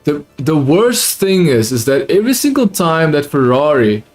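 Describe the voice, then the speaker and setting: Excitable man with near-British non-native accent. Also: fairly high pitch, moderate pace, faint music behind. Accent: close to British, not fully. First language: not English. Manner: excitable, repeats himself, calls viewers dude. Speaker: livestream host watching a race. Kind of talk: casual live reaction commentary.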